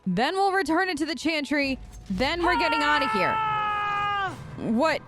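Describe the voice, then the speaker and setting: A woman's voice: high voice, sing-song, normal speed, loud music behind the voice. A woman hosting a let's play, giving casual, chatty role-play commentary and musing in character.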